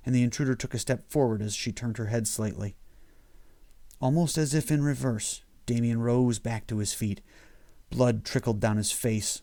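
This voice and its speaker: A man's voice: medium pitch, dark tone. A man reading his own horror short story as scripted audiobook narration.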